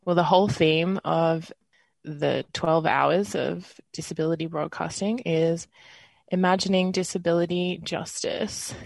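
A twenty-eight-year-old radio host with an Australian accent, leading a community panel in an earnest, relaxed way. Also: mid-range voice, unhurried, vocal fry, dry recording. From Western Australia. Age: 20s. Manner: earnest, relaxed, with fillers and small laughs.

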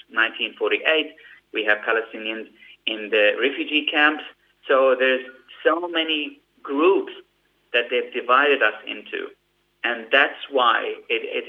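A man from Gaza speaks as an interview guest, giving long, earnest explanations and often restarting his phrases. His voice sits a little low. The sound is thin and tinny, like it comes down a phone line. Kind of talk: interview answer.

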